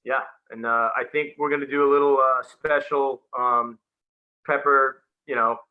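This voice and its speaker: A man's voice: medium pitch, steady tone, normal speed, clean tone, narrow, tinny audio. A man co-hosting a casual video-call chat show, joking and easygoing. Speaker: podcast co-host.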